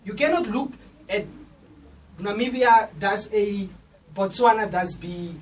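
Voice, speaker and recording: A young man, a student, giving a loud, rousing speech at an event. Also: sits high, slow. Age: young adult. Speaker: student association speaker. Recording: distant mic, faint noise.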